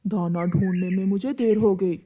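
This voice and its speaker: A woman voicing a calm, resigned male bird who consoles his wife in a cartoon, measured and soothing. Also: mid-range voice, lo-fi sound, noisy background, lightly acted. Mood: reassuring. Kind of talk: Urdu cartoon voice acting.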